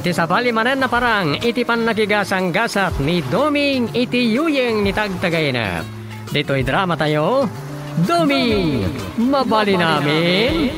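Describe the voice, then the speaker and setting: A man announcing a radio drama in a scripted, drawn-out delivery. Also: sits high. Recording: music bed behind voice.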